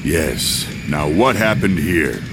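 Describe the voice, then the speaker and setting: An actor playing a gruff, blunt warrior captain in a video game. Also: male voice, extremely low pitch, animated, slow, noisy background, full character voice. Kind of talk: video game cutscene acting.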